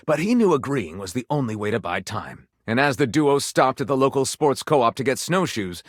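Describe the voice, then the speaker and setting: A man's voice: medium pitch, dry recording, clean studio sound. An actor voicing a flamboyant male storyteller of lurid romance in a video game, with theatrical, breathless, overwrought dramatic narration.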